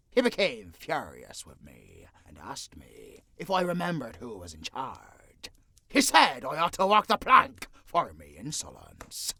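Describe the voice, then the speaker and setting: Gravelly, swaggering gentleman pirate. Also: mid-range voice, no room sound, exaggerated voice. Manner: theatrical, swaggering, archaic pirate talk. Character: blustering English gentleman pirate with hooks for hands. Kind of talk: cinematic audio roleplay acting.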